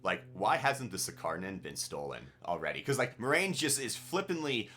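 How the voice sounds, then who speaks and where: A man speaks in a low but bright, forceful voice as a review show co-host. He is informal and blunt, offering opinions in loose conversational phrasing. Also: sing-song, normal speed, a little hiss or hum. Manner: informal, blunt, swears casually. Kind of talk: casual opinionated episode discussion.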